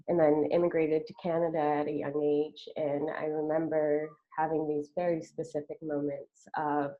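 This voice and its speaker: A woman from Sudan whose first language is Arabic, speaking hesitantly with many pauses and fillers in a casual panel conversation over a video call. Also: low-pitched, level tone. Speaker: artist guest. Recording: tinny phone-like video call audio.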